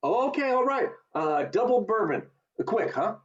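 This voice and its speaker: A woman's voice: medium pitch, very animated, measured pace. A woman acting a bewildered, agitated everyman in a radio play, stammering and urgent, over a video call. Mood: insistent. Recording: dull, lo-fi video call audio.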